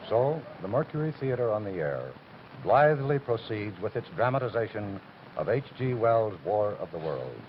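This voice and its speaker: A male actor as a measured narrator of a historical drama, reading scripted dramatic narration. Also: on the low side, sing-song. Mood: grave, measured. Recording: muffled, noisy old TV soundtrack.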